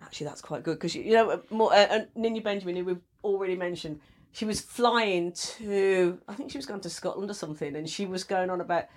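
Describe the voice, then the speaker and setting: A woman from the English West Midlands chatting casually as a comedian, telling stories in an animated, sweary way. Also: on the low side, brisk. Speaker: stand-up comedian being interviewed. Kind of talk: casual chat with anecdotes.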